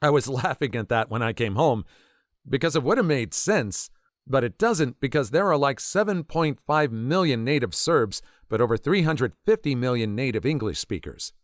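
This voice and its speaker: A man's voice: mid-range voice, lively intonation, normal speed, no room sound, clean studio sound. A man reading out short anecdotes as scripted narration for an online story compilation. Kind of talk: scripted narration of read-out stories.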